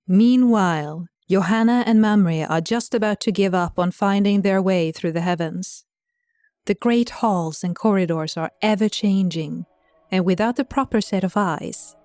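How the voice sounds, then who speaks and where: A woman with a fairly low voice narrates a scripted audio drama. Her storytelling is wry, and music plays softly behind her. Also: no room sound.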